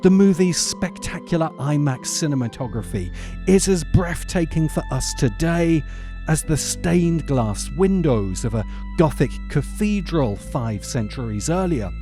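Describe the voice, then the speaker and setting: Male video essay host: mellow tone, highly animated pitch, dry dramatic emphasis, music underneath. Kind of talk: scripted narration, film commentary.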